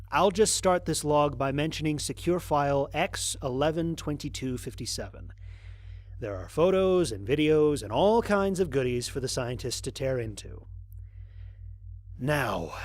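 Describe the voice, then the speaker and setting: Wry space pilot with animated, swooping pitch, played by a man. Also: no echo. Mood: matter-of-fact. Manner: dry, grumbling, conversational. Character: weary, wry male human aerospace pilot on an alien world. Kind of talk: audio drama mission log narration.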